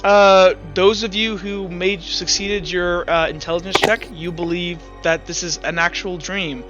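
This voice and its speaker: A man running a tabletop roleplaying game as game master, talking casually and haltingly as he thinks aloud. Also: high-pitched, fairly even intonation, fairly bright tone, lo-fi sound, soft background music.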